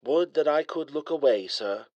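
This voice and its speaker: A man's voice: on the high side, animated, moderate pace, a little mellow, no echo, highs cut off, voice pushed up, natural voice. A man voicing a prim, dryly sarcastic male ship AI that speaks with a light English accent. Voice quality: smooth, polite, dry.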